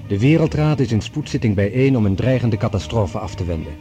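A man narrating a radio drama from a script in a measured, formal manner as announcer. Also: on the low side, animated, dark tone, faint music behind.

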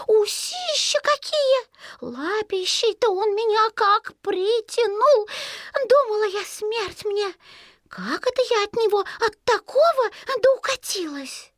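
A woman voicing a tiny frightened female mouse in a folk tale, squeaky, trembling and exclaiming. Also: no room sound, clean studio sound.

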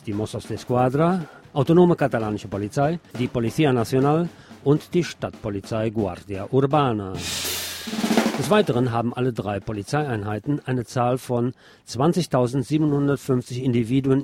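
A man's voice: medium pitch, warm tone, a little hiss or hum. A man presenting scripted radio news, with brief asides dropped in between the items.

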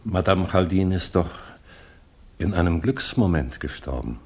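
Low, husky, solemn male narrator. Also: animated, unhurried, a little mellow, exaggerated voice. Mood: grave, consoling. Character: sombre, grave male storyteller and observer. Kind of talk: literary radio drama narration.

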